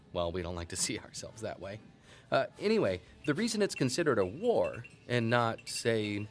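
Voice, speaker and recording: A man's voice: mid-range voice, close to an everyday voice. Folksy, wry small-town historian played by a man. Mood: wry, amused. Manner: folksy, wry, digressive, with thoughtful asides. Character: chatty small-town male historian who relishes local lore. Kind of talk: conversational storytelling in an audio drama.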